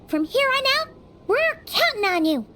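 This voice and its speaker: A squeaky, bubbly little companion. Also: female voice, moderate pace, exaggerated voice. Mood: cheerful. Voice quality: very high, lively. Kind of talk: English game dub. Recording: faint background noise.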